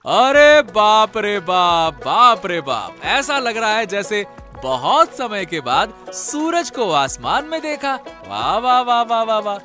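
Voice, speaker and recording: A man's voice: high-pitched, very animated, slow, pushed and bright, soft background music, heavily acted. A man playing a simple, honest, talkative younger boatman in a cartoon story, exclaiming and repeating his words. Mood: delighted. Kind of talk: Hindi cartoon story dialogue.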